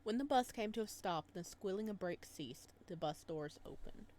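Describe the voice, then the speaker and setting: A woman hosting a gaming video, reading a visual novel aloud and adding casual, animated commentary. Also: medium pitch, normal speed.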